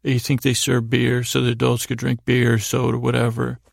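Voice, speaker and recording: A middle-aged American man hosting a bedtime podcast, telling meandering stories in a gravelly voice, haltingly trailing off and wandering between thoughts. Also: mid-range voice, monotone, no room sound, studio quality. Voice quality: gravelly, creaky voice. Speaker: podcast host. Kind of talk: rambling, meandering bedtime storytelling monologue.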